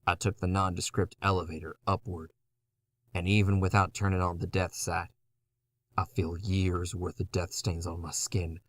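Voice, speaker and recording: A man's voice: low-pitched, little pitch movement, normal speed, no room sound. A man playing a battered, foul-mouthed undead antihero, telling his story in a wry, conversational way in a horror audio drama. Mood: grim, wry. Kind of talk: first-person horror audio drama narration.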